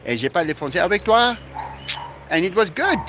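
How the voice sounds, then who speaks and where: A man's voice: sits high, very animated, fairly quick, pushed and bright, a little hiss or hum. A man from South Africa with an Afrikaans accent, chatting casually on his live stream, laughing often and playing with his dogs. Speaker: livestreamer.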